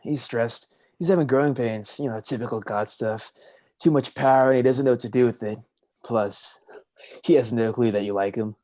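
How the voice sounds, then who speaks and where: A man playing a goofy, meddling sea god buddy in a comic staged reading, casual, slangy and boisterous, with a husky voice. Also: mid-range voice, normal speed, darkish tone, close to an everyday voice. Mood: laid-back, teasing.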